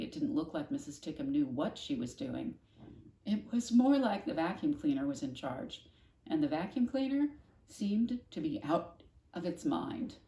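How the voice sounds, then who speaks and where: A woman reading a children's story aloud in a gentle, cozy manner, pausing to explain things to young listeners. Her voice sits on the low side. The recording has a roomy echo around it. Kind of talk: read-aloud storytelling with casual asides. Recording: echoey room.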